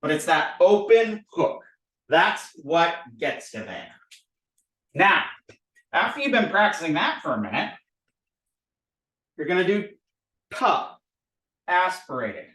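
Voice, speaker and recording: A man teaching at a board, animated and drilling sounds by repetition. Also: high voice, slow pace, long pauses, reverberant, far from the mic, poor audio. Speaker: language teacher. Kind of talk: recorded board lesson. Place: indoor room with a board.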